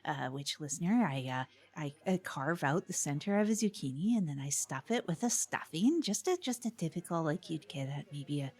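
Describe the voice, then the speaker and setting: Earnest, lower-voiced small-town radio hostess played by a woman. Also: expressive, normal speed, hardly any pauses, darkish tone, breathy edge, exaggerated voice. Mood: flustered, chatty. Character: earnest, gushing small-town radio host, mildly flustered. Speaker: community radio host. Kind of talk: improvised mock public access radio chat.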